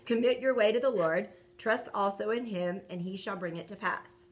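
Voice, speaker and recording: A woman's voice: medium pitch, normal speed. Middle-aged woman, conversational and affirming. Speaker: co-host and scripture reader. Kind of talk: casual devotional talk and scripture reading. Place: furnished room at home.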